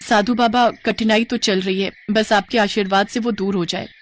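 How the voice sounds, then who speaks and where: A woman voicing a gentle, troubled young daughter-in-law in a moral story, speaking earnestly and respectfully. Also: medium pitch, steady tone, moderate pace, slight background noise, lightly acted.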